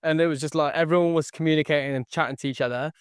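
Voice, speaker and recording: A man's voice: fairly high pitch, fairly even intonation, racing, clear, resonant tone, no room sound, studio quality. A young man whose first language was Tagalog, telling his life story as a podcast guest in an earnest way, repeating phrases and saying "okay" often. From born near London, raised in the Philippines. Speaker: guest, sales entrepreneur. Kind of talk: podcast interview.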